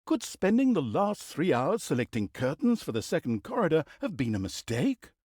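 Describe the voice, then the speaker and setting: A man's voice: a little high, huge pitch swings, normal speed, a little mellow, slightly rough, dry recording, studio quality, heavily acted. An actor playing a wry, mocking male storyteller in a fantasy video game, narrating in a theatrical, mock-grand manner with sardonic, teasing asides. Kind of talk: scripted storybook-style comic fantasy narration.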